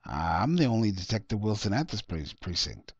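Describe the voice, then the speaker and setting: A man's voice: on the low side, sing-song, normal speed, a little mellow, slightly rough, dry recording, lo-fi sound. A man voicing a businesslike police detective in a short story reading, official and matter-of-fact. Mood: formal, puzzled. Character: businesslike male police detective, calm and procedural.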